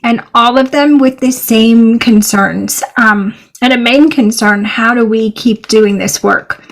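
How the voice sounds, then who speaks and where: Hoarse woman podcast co-host. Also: fairly high pitch, rough recording. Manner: upbeat, chatty, quick to laugh. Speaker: podcast co-host, professor and researcher. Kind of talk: casual podcast chat.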